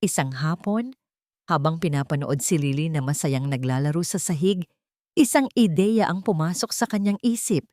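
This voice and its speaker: A Filipino woman narrates an audio drama from a script. Her voice sits a little low for a woman, and the recording is dry, with very little room sound. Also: lively intonation, moderate pace, mellow, no echo.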